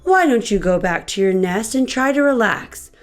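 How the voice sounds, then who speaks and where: A woman's voice: a little high, expressive, moderate pace, natural voice. A woman voicing a sensible, patient and weary bird in a storybook, reassuring in a level, matter-of-fact way.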